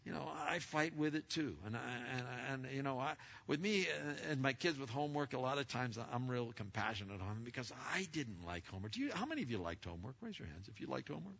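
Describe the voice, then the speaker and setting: A man's voice: mid-range voice, lively intonation, fast, vocal fry, hardly any pauses, fairly bright tone, breathy edge. A middle-aged male pastor preaching a sermon to his congregation in a big, emphatic voice, with many stammered restarts. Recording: lo-fi recording.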